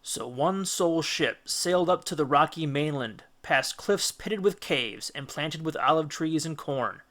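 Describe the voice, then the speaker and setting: A male audiobook reader with a slightly high, crisp-edged and forceful voice. He narrates a children's classic dramatically, in an expressive and deliberate storytelling manner.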